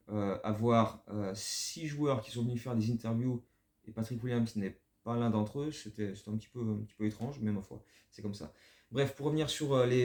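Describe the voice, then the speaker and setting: A man talks through his channel's basketball commentary in a relaxed, rambling way, often stumbling over repeated words. His voice sits low and sounds warm. The room around him carries a noticeable echo. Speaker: basketball fan channel host. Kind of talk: casual spoken commentary.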